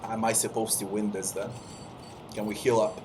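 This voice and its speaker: A man's voice: lowish voice, level tone, moderate pace, noisy background. A man giving casual commentary while playing a video game, musing aloud to himself. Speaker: gamer. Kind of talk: let's play commentary.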